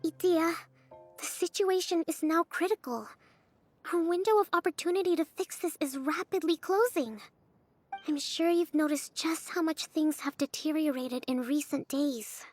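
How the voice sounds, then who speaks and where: Very high-voiced earnest little general. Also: female voice, normal speed, faint music behind. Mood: urgent. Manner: formal, urgent, persuasive. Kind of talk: video game voice acting.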